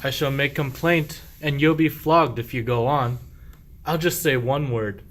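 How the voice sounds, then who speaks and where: A man's voice: medium pitch, measured pace, fairly bright tone, lightly acted. A man playing a sly, boastful, self-pitying sheep thief in a medieval verse radio play, with declamatory rhyming speech. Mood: pompous indignation.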